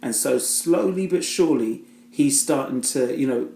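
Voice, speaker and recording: A man's voice: mid-range voice, lively intonation, moderate pace, creaky, dark tone, reverberant. A British-born man giving a casual, rambling spoken review, full of fillers and restarts. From Britain. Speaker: film reviewer.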